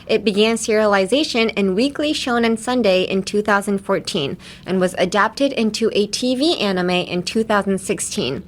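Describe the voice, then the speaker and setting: A woman's voice: mid-range voice, fairly bright tone. A woman hosting a casual language-lesson podcast, talking in a chatty, rambling way with tangents. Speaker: podcast host teaching phrases.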